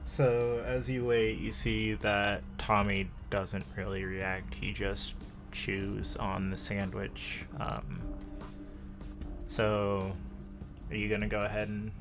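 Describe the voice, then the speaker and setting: A man with a fairly low voice narrates a tabletop game. He speaks very slowly and haltingly, in short phrases, over faint music. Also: monotone. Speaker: game master.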